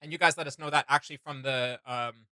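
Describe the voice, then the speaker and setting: A man's voice: medium pitch, steady tone, fast talker, bright, forceful tone, no room sound. A man co-hosting a reaction video, chatting casually in a rambling, enthusiastic way about what he likes. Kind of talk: casual opinion chat with co-host.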